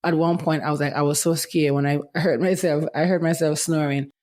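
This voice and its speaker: A woman's voice: deep voice, steady tone, fairly quick, a little mellow. Chatty woman hosting a podcast. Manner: candid, chatty, often says "let me tell you". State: voice affected by medication. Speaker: podcast host. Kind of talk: casual solo podcast chat.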